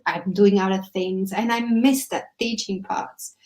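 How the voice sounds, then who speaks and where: A woman's voice: medium pitch, a little slow. A woman from Italy with an Italian accent, a guest speaking with enthusiasm in a casual conversation. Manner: enthusiastic, animated.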